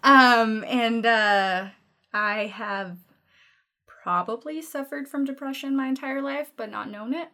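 A woman's voice: a little high, slow pace, bright, forceful tone. A woman in her twenties from Denmark, speaking English with a Danish accent as a podcast guest, talking openly with frequent laughs. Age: 20s. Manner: open, frank, laughs often between thoughts. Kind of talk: casual personal conversation.

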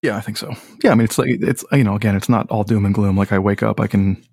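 A man with a low voice, a musician guest talking in a laid-back, rambling way with many fillers. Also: steady tone, fast talker, vocal fry, a little mellow, slightly rough. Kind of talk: casual podcast chat.

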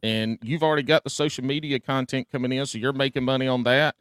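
A man's voice: medium pitch, fairly even intonation, fast, a bit bright, clean tone, dry recording, clean studio sound. A man co-hosting a podcast, joking and teasing the guest in casual banter. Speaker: podcast co-host.

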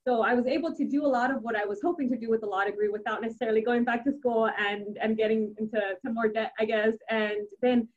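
A woman's voice: fairly high pitch, fairly even intonation, fast talker, few pauses, dull audio. A young adult woman, a native Spanish speaker, answering interview questions casually with many restarts and fillers. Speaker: civil engineer guest.

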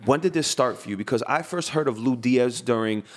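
A man hosting a podcast, interviewing in a casual, slangy way with halting, repeated phrases. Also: mid-range voice. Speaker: podcast host.

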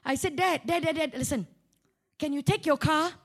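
A woman preacher with a very high voice and a Malaysian accent. She tells her sermon as a story, acting out both sides of conversations in an animated, joking way, with colloquial particles sprinkled through. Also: normal speed. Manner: animated, colloquial, humorous, with local particles like lah and ah. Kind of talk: storytelling sermon with acted-out dialogue.

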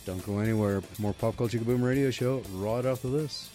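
A man's voice: medium pitch, fairly quick, dark tone, faint music behind. A man hosting a radio show in a studio, chatting casually and reading news items aloud with frequent restarts.

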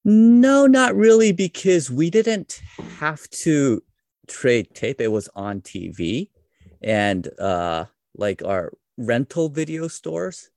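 A man in his forties, a wrestling translator, talking casually as an interview guest, relaxed and self-deprecating with plenty of fillers. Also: a little high, takes it slowly, dry recording, clean studio sound. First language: English.

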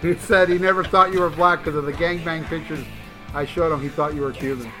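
A man's voice: a little high, steady tone, a little fast, music in the background. An American man hosting a podcast, telling rambling, crude stories with lots of swearing and laughter. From United States. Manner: rambling storyteller, swears freely, laughs often. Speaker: podcast host. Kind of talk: casual crude podcast chat. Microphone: headphones.

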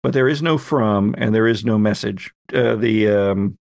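A man acting as game master, narrating a tabletop story off the cuff with measured, hesitant pauses. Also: fairly low pitch, creaky, no echo, studio quality.

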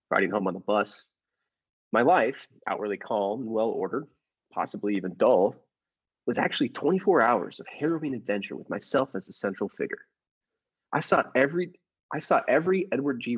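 A man's voice: medium pitch, husky, highs cut off. A man playing a meek daydreaming clerk who puts on a swaggering movie gangster, in a comic radio play. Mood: wry narration. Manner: stammering when meek, clipped gangster swagger when posing. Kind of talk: improv podcast performance of classic radio comedy play.

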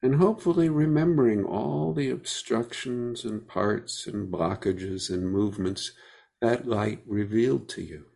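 A man leading a guided meditation, speaking gently with unhurried pauses between phrases. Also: on the low side, creaky.

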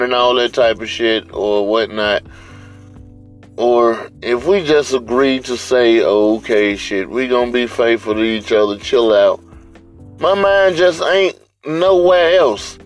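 Male podcast host, Southern US accent, unhurried mid-pitched delivery, blunt street slang, light music bed. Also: poor audio. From US South, Atlanta area. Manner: blunt, slangy, heavy swearing. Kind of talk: casual unscripted monologue.

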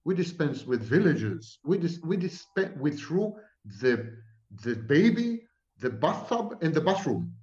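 A man's voice: fairly high pitch, big pitch swings, measured pace, a little mellow, reverberant, dull audio. A man from Israel, a professor answering at length in an emphatic, repetitive lecturing manner. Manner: emphatic, repetitive, sweeping declarations. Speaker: psychology professor guest.

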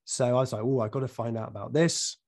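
A man hosts a podcast in a relaxed, hesitant way, his voice croaky from a recent cold. He fills gaps with ums and often restarts his sentences. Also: medium pitch, fast talker. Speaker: podcast host. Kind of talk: casual interview.

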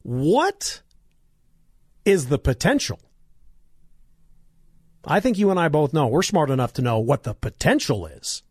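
Hoarse, lively-voiced male radio talk host. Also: on the high side, a little slow, long pauses, dry recording, clean studio sound. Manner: combative, sarcastic ranting. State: hoarse, coughing.

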